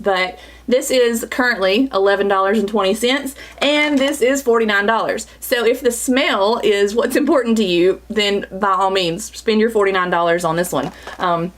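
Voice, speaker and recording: A woman, chatting bubbly through a makeup tutorial while her voice is scratchy from a cold. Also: mid-range voice. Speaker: beauty vlogger. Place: small home studio, indoors.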